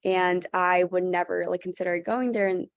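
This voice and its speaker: A woman's voice: fairly low pitch, fairly even intonation, moderate pace, no room sound, clean studio sound. A young woman from Thailand, a student panelist on a webinar, talking earnestly with many fillers and restarts. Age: young adult. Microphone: video call.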